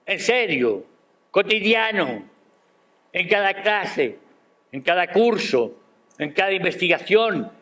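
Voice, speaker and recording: An older man giving a formal academic speech at a university ceremony, measured and emphatic, posing rhetorical questions. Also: high voice, big pitch swings, bright, forceful tone. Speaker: guest speaker at a university ceremony. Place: university auditorium.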